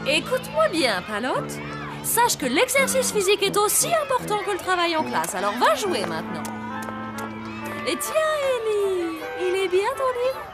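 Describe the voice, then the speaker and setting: Prim, swooping high-voiced schoolmistress. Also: female voice, huge pitch swings, moderate pace, loud music behind the voice, over a noisy crowd, heavily acted. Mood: sugary. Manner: prim, theatrical, lecturing. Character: prim female schoolteacher, strict, openly favouring pets. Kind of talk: animated series dubbing.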